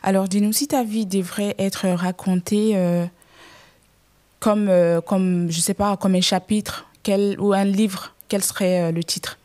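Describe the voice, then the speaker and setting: Casting: podcast host, mid-range voice, brief prompts, lots of quiet listening noises. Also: mid-range voice, flat delivery, unhurried. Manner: brief listening backchannels, asks short questions. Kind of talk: casual interview.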